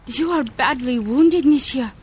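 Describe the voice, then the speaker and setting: An actress playing a flirtatious French nurse in a dream, speaking with a French accent in a teasing, seductive way. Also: female voice, high voice, a little slow, darkish tone, a little hiss or hum. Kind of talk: radio drama acting.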